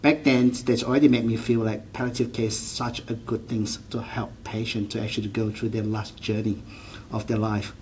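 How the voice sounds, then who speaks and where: A man's voice: on the low side, level tone, normal speed, darkish tone, a little hiss or hum. A man in his forties from Malaysia with a Malaysian accent, a GP answering interview questions earnestly, often restarting his sentences. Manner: earnest, with frequent restarts and non-standard grammar. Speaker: general practitioner.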